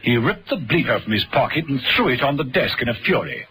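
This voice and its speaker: A man's voice: medium pitch, very animated, brisk, bright, forceful tone, a little hiss or hum. A man reading scripted dramatic narration for a thriller story.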